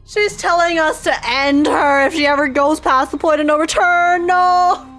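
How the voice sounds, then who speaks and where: High-voiced young woman reacting to a game. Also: normal speed. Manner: slangy, expressive, emotionally invested. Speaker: game streamer. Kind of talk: live reaction commentary, casual chat. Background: game music.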